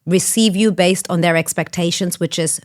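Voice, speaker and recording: A woman's voice: lowish voice, steady tone, moderate pace. A woman hosting a podcast, thoughtful and searching for her words as she asks open questions. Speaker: podcast host. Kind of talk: casual podcast conversation.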